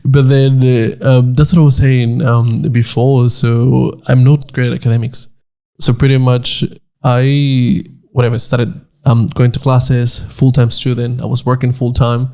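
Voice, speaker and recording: Man, twenties, from Nicaragua, gravelly, mellow tone, rambling podcast chat. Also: mid-range voice, level tone, measured pace. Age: 20s. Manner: rambling, repeats words while searching for them. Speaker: podcast co-host. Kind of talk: casual chat.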